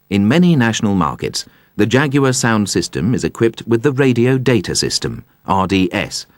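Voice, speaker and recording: A man reading scripted instructional narration in a measured, precise, explanatory way. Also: deep voice, no room sound, studio quality. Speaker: narrator of a car instruction video.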